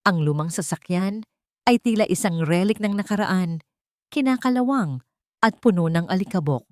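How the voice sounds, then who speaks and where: A woman narrating a dramatic audiobook story in a solemn, literary way, with short deliberate phrases. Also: lowish voice, expressive, no room sound, studio quality.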